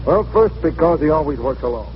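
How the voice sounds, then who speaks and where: An actor playing a blunt, frustrated, slangy police commissioner in 1940s radio style. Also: male voice, fairly high pitch, animated, fairly quick, lightly acted. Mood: grudging. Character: gruff, frustrated, plain-spoken male police commissioner. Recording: noisy, muffled old radio recording.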